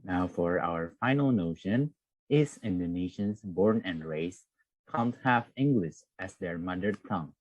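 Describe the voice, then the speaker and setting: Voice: low voice, animated, a little slow, a little mellow, no room sound. A moderator reading brief, formal introductions to each segment. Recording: dull lo-fi audio.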